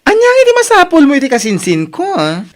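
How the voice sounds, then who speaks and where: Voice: high-pitched, huge pitch swings, fast. A performer with an Ilocano accent acting a nosy relative in a comedy radio drama. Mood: curious. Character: nosy relative questioning a visiting debt collector.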